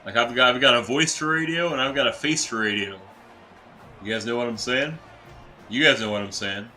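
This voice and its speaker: A young adult man, a teacher by day, giving casual, excitable live-stream commentary while playing a football video game and chatting with viewers. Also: mid-range voice, brisk, faint music behind. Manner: excitable, chatty, reacts to plays and reads chat aloud.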